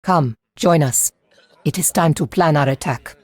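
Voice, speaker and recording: A woman's voice: low voice, a little slow, husky. A woman playing a resolute, commanding warrior leader in a video game cutscene, speaking firmly. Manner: firm, commanding. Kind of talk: scripted game cutscene dialogue.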